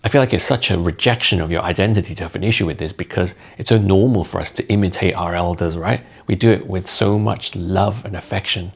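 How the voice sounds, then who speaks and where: Deep, gravelly man with blunt opinions. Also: a little fast, few pauses. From Hong Kong. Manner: blunt, mocking, instructive. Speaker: content creator. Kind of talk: opinionated explainer talk to camera.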